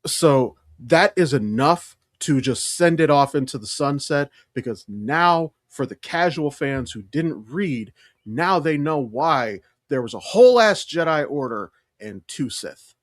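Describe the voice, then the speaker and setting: A man's voice: on the high side, animated, measured pace, no room sound, studio quality. A man co-hosting a podcast, talking casually and emphatically like an opinionated, enthusiastic fan explaining things. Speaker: podcast co-host.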